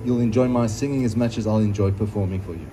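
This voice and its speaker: A middle-aged man with a South African accent, a singer-guitarist speaking thoughtfully and haltingly to an audience between songs, through a microphone outdoors. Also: on the low side, over music. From Johannesburg, South Africa. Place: outdoors under a canopy in a concrete yard. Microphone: stage microphone through a PA, filmed from a few metres.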